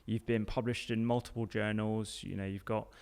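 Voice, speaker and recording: A man's voice: on the low side, little pitch movement, moderate pace, gravelly, darkish tone, smooth. A man hosting a conversational podcast interview in an informal, enthusiastic way.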